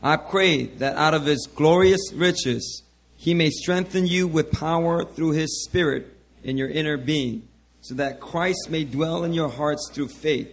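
A man preaching to his church with a hoarse, worn-out voice, repeating hallelujah and calling out to the congregation over a handheld mic. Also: on the high side, unhurried. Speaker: pastor. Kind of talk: sermon.

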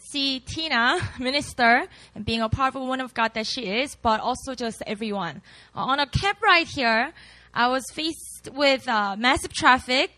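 A woman in her late twenties, a pastor with a Korean accent, chatting warmly and laughing at herself before reading scripture to a campus group. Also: high voice, no room sound, poor audio. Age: late 20s. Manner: chatty, self-deprecating, laughs often.

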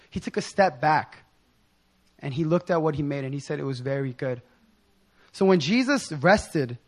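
A young man with a hoarse voice giving an informal sermon in a casual, slangy, excited manner. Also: fairly high pitch. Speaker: preacher.

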